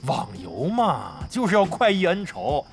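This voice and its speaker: A man's voice: on the high side, huge pitch swings, a little slow, vocal fry, faint music behind, exaggerated voice. An actor playing a brash, crafty middle-aged veteran gamer in a Chinese audio drama, cocky and slangy, grumbling and swearing as he banters. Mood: cocky, teasing.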